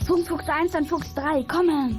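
A woman playing a bold, alert child detective in a children's audio drama, calling out briskly. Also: high voice, steady tone, music in the background. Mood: commanding. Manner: brisk radio-call delivery. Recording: noisy outdoor ambience behind voice.

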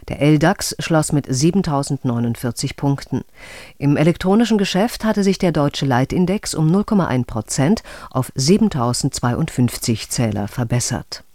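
A newsreader reading a formal radio news bulletin in a measured, even voice. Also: male voice, a little high, no echo, clean studio sound.

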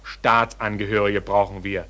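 Low-voiced, officious border policeman. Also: fairly even intonation, normal speed, pushed and bright. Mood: scolding. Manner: curt, blustering, stammers when challenged. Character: gruff, officious border policeman, bossy and pedantic. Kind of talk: 1940s German radio play acting. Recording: old radio recording with background noise.

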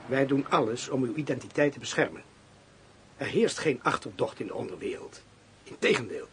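Radio play: man playing cautious police inspector, formal and official, mid-pitched, slight background noise. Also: animated, fairly quick, fairly bright tone, close to an everyday voice. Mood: formal, reassuring. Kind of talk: radio drama dialogue.

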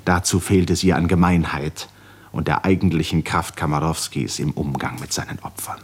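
A man narrating a literary 1950s radio play with dramatic, deliberate phrasing that often falls to hushed endings. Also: extremely low pitch, steady tone. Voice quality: breathy, husky.

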